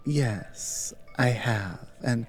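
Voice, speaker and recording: A man playing a resolute, remorseful rebel guide in a dramatic audio roleplay, speaking in a hushed, reassuring, deliberate way. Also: mid-range voice, soft background music. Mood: earnest. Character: resolute, remorseful male rebel guiding a newcomer.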